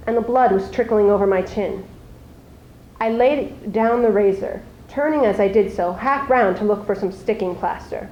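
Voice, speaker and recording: High-voiced teenage girl reading prose, echoey room. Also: a little mellow, lo-fi sound. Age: teens. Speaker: high school speech team student. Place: live school showcase before an audience. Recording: echoey live room recording.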